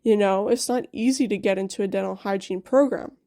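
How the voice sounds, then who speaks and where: A woman's voice: medium pitch, a little fast, dark tone. A young woman from Quebec telling her personal story to camera in a candid, chatty way, with frequent asides like "you know?". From Quebec, Canada. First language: English. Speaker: dental hygienist vlogger.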